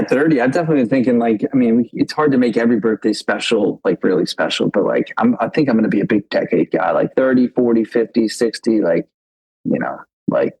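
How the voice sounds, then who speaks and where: Husky-voiced man rambling casually. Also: fairly low pitch, darkish tone, rough recording. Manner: rambling, repeats himself, lots of fillers. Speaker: podcast co-host. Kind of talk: casual chat.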